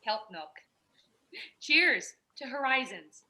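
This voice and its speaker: A young woman playing an excitable, teasing roommate in her early twenties in a script reading. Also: sits high, takes it slowly, exaggerated voice. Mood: playful. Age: young adult.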